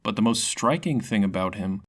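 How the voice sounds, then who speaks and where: A man's voice: fairly low pitch, animated, moderate pace, breathy edge. A man narrating a scripted fantasy story in a dramatic storytelling manner. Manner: dramatic storytelling with character voices.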